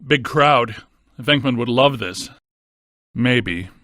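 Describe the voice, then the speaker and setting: A wry ghost hunter, close and dry. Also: male voice, medium pitch, slow pace, a bit bright, no room sound. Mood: dry, measured. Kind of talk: scripted video game character lines.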